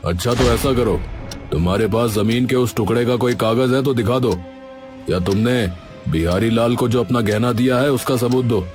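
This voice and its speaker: A man playing a scheming village headman in a cartoon story, smooth at first but bossy and dismissive. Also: mid-range voice, moderate pace, a little mellow, soft background music, lightly acted. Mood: stern, bullying. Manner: falsely friendly, then bossy and dismissive. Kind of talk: Hindi cartoon story acting.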